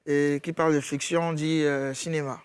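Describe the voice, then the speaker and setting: Relaxed male singer with a slightly high voice. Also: normal speed, clean tone. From Ghana and Côte d'Ivoire. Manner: relaxed, searching for words, laughs easily. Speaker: singer guest. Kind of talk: casual interview answers.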